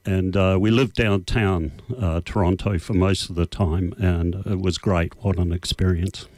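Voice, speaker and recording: Older kiwi man, low voice, halting studio interview guest, dry sound. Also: steady tone, a little mellow, no room sound. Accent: New Zealand. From New Zealand. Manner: unhurried, hesitant with many uh fillers. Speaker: radio guest. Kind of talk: casual interview answers. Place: radio studio.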